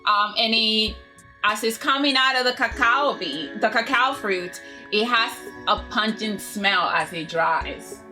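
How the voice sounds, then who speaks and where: A young woman from Ecuador chats casually, laughing often as she shares facts. Her voice is bright and slightly high, with faint music playing behind her. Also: unhurried, poor audio. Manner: chatty, laughs often, tells fun facts. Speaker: co-host. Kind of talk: casual chat.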